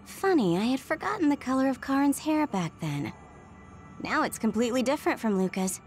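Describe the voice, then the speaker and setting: A woman's voice: sits high, sing-song, a little fast, darkish tone. An actress playing a wistful, chatty female soldier in a video game. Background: faint music.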